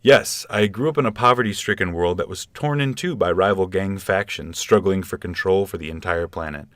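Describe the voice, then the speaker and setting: An actor playing an earnest, defensive galactic presidential candidate with formal politician's talk in a comic sci-fi radio play. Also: male voice, low voice, brisk, fairly bright tone, no room sound. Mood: earnest, modest.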